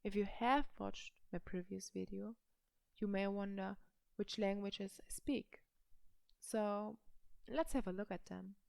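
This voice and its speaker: Slow German-accented young woman, gravelly. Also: mid-range voice, fairly even intonation, a little mellow, dry recording. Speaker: language vlogger. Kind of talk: slow personal vlog talk.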